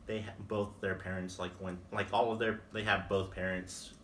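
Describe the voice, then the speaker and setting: Young male interviewee, native Spanish speaker, Mexican Spanish accent, low flat voice, hesitant, full of fillers, distant mic with noise. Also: moderate pace. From Texas. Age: young adult. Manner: rambling, many "like" fillers, hesitant. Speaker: interviewee, college student. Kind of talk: casual interview answers. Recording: distant mic, noisy room.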